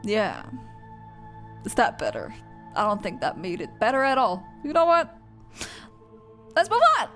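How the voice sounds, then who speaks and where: A young woman streaming her reaction to a game story, chatting casually and swinging between squeals and flat rambling asides. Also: on the high side, unhurried, pauses often. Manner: excitable, gasps and squeals, then drops to flat rambling. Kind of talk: casual live reaction commentary. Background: game music.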